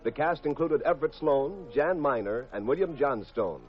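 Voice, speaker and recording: A man's voice: mid-range voice, animated, moderate pace. An American man announcing scripted credits in the formal, polished style of 1950s network radio. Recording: dull, lo-fi 1950s radio broadcast. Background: music.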